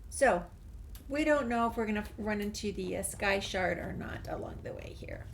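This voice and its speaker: A woman's voice: mid-range voice, lively intonation, normal speed, gravelly, a little hiss or hum. A woman hosting a gameplay video, thinking aloud in casual, rambling commentary. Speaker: gaming video host.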